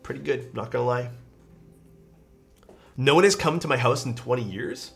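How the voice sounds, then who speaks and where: A man's voice: medium pitch, lively intonation, normal speed, vocal fry, soft background music. A young man with a stuffed-up, nasal voice, chatting casually on a live stream while he builds a keyboard and reads out chat. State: stuffed-up nose.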